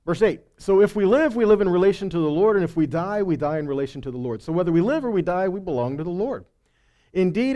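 A man's voice: sits high, mellow. A middle-aged man giving a conversational sermon as a preacher, reading scripture and explaining it with rhetorical questions and check-in words like okay and right. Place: indoor stage of a meeting room. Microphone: headset.

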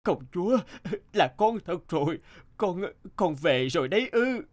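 An actor playing an ailing old king and loving father in an animated folktale, stately and gracious. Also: sits high, measured pace. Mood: moved, relieved.

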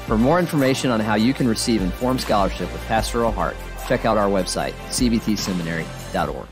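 A man reading a scripted promotional announcement in a smooth, even advertising delivery. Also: lowish voice, fast. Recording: voice-over with music bed.

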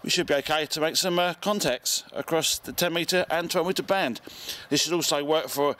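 A man's voice: on the high side, fairly quick, pushed and bright. A middle-aged man with a British accent giving a casual hands-on demonstration, recorded outdoors in a garden. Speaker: amateur radio video presenter.

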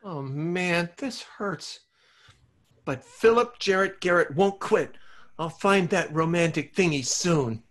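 A man's voice: sits high, expressive, slow, a bit bright, heavily acted. A man playing an innocent, lovesick kindergarten boy in a comedy table read. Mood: shy, hopeful.

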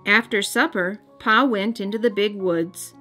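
An American woman reading a storybook aloud for young children in a gentle, careful storytelling manner. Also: mid-range voice, a little slow. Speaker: children's storybook reader and host. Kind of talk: scripted read-aloud narration. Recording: music under the voice.

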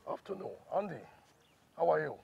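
Boastful plotting boss in a movie, lively gravelly voice, smug, noisy recording a bit off mic. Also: male voice, on the high side, fairly quick, recorded from a distance, muffled recording. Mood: genial. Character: scheming, boastful powerful boss, self-styled lion. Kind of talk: film drama acting. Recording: noisy location sound, off the mic.

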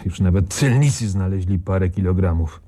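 A world-weary expert journalist in a radio play. He sounds dark and relaxed, fairly low, with dry sarcasm. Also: moderate pace. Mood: sardonic. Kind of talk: radio crime drama acting.

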